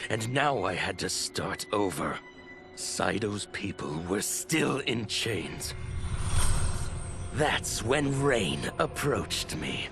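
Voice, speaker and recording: A grim, zealous revolutionary narrating a game cutscene. His voice is low and theatrical, unfolding slowly and intensely. Music plays clearly behind it. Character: fervent male anarchist revolutionary, grim and zealous.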